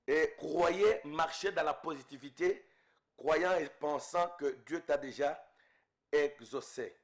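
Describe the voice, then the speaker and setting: A man's voice: fairly high pitch, slow pace. A man from Congo leading an intense spoken prayer as a preacher, fervent and repeating invocations, his voice rising and falling in waves.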